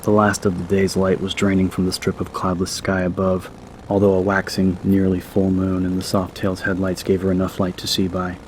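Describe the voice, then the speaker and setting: A man's voice: low-pitched, completely flat delivery, moderate pace, a little hiss or hum, low-quality recording. A man narrating an audiobook from a script in a dramatic story style.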